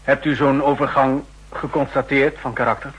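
An actor playing a stern, skeptical general who speaks formally and dryly in a 1960s radio drama. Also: male voice, mid-range voice, flat delivery, moderate pace, a bit bright, muffled recording. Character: stern, skeptical male general chairing a hearing. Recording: husky-sounding old 1960s radio recording.

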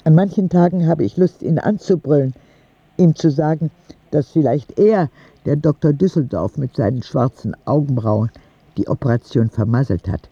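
A man's voice: fairly high pitch, moderate pace, warm tone, breathy edge, no echo, highs cut off. A man playing an earnest, candid ten-year-old sick boy who narrates his letters in a radio drama. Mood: earnest, frustrated.

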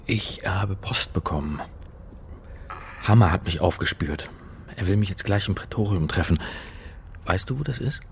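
An actor playing an uneasy doctor turned amateur sleuth who narrates a German radio drama. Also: male voice, deep voice, expressive, moderate pace, gravelly, pauses often, background noise.

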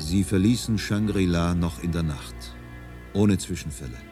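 A man narrating a radio play as a composed storyteller, in measured, sober literary narration. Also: deep voice, mellow, close to an everyday voice. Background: music.

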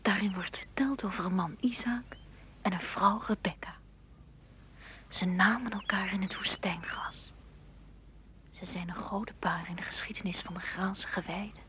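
An actress in a radio play voicing a lonely, wistful blade of grass that asks questions in a hushed, breathy whisper. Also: female voice, mid-range voice, steady tone, normal speed, pauses often, close to an everyday voice. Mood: questioning, wistful. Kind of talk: whispered radio drama acting. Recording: noisy old radio play recording.

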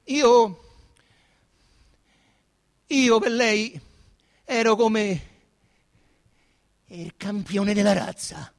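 A man's voice: high voice, drawn-out words, long pauses, full character voice. An actor playing a pleading young man with a Roman accent under police questioning, agitated and insistent, in a theatrical radio drama.

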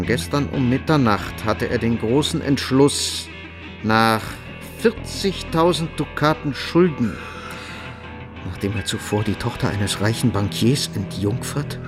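An actor playing a scheming younger son in a German radio drama, theatrical, insinuating and falsely devout. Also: male voice, medium pitch, natural voice. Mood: ominous, insinuating. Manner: theatrical, insinuating, savoring sarcasm.

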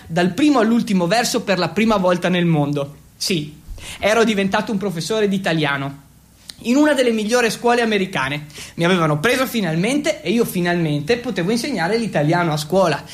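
A man's voice: high-pitched, expressive, fairly quick. A man narrating in the first person as an actor in a theatrical monologue.

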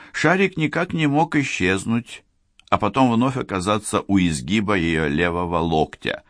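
A man reads a novel aloud with a measured, expressive delivery. His voice is very deep and swings widely in pitch. The sound is close and dry. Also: no echo. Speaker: audiobook narrator. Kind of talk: scripted audiobook narration.

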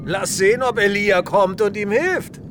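A man's voice: high voice, a little slow, noisy background. An actor playing a commanding, imperious man in a radio drama. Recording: music behind the voice.

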